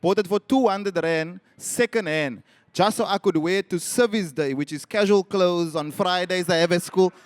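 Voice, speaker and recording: A man from South Africa telling a story as a guest on a live panel, wry and animated. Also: moderate pace, no room sound, clean studio sound. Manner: animated, wry, rises in pitch when stressing points.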